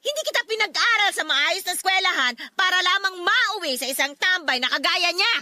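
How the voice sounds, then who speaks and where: A very high, piercingly bright voice: a middle-aged, domineering mother in a radio drama. She nags harshly and stresses her words with scorn, recorded close and dry. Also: expressive, brisk, no room sound, full character voice. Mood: angry, scornful. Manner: nagging, harsh, sharply emphatic. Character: angry, scolding mother who scorns her daughter's boyfriend. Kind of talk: Filipino radio drama acting.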